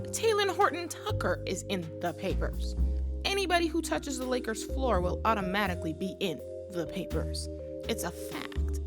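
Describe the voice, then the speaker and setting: A woman giving playful reaction commentary to camera, mock-serious and theatrical, hammering phrases through repetition. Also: mid-range voice. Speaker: sports fan reaction video host.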